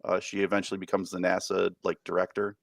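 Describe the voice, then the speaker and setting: A man's voice: deep voice, completely flat delivery, quick pace, gravelly, no room sound, studio quality. A man co-hosting a casual podcast, rambling with lots of fillers and restarts. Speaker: podcast co-host.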